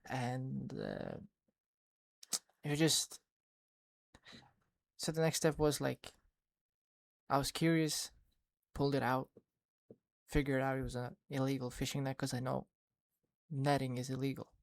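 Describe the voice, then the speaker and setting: A man, a fishing vlogger, talks over his footage in a level, monotone voice. he rambles and restarts a lot. the sound is dry and close, with no room in it. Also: mid-range voice, unhurried, gravelly, long pauses, no echo. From Sweden. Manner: rambling, many restarts and fillers. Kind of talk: casual voice-over recap.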